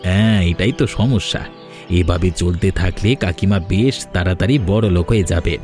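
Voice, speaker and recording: A man's voice: deep voice, normal speed, darkish tone, lightly acted. A man voicing a sly, greedy villager in a cartoon who talks in teasing riddles. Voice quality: teasing, evasive. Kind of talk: Bengali cartoon voice acting. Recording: music under the voice.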